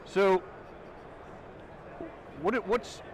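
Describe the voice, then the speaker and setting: A man's voice: high voice, fairly even intonation, drawn-out words, slight background noise. Rambling casual podcast host, man. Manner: rambling, swears casually, restarts questions. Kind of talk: casual interview conversation. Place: trade show booth, outdoors.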